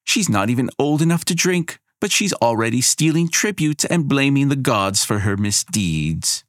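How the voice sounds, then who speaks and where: A man voicing a haughty, sharp-tongued young fire goddess in a storybook reading, snippy and mocking. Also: mid-range voice, lively intonation, normal speed, dry recording, clean studio sound, pitched above the performer's own voice, close to an everyday voice. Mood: smug.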